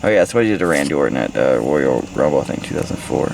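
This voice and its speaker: An American man with a low voice and a gravelly fry rambles casually through a book review. He is crude and irreverent, swearing freely and jumping between his own remarks and bits read aloud. There is faint noise behind him in the car. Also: big pitch swings, quick pace, a little mellow. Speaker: vlogger giving a book report. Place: inside a parked car. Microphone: handheld phone or camera at arm's length. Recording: handheld recording with faint background noise.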